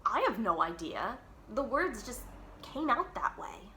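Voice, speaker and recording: A woman's voice: a little high, very animated, measured pace, pushed and bright, echoey room, slight background noise. A woman reading a children's book aloud expressively, leaning on key words with dramatic emphasis. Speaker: library read-aloud storyteller.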